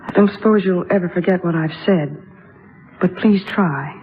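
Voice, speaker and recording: An actress playing a gentle, worried young woman in a 1950s radio drama, earnest and hesitant with an old friend. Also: on the low side, normal speed, lightly acted. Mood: pleading. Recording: thin phone-like 1950s radio broadcast.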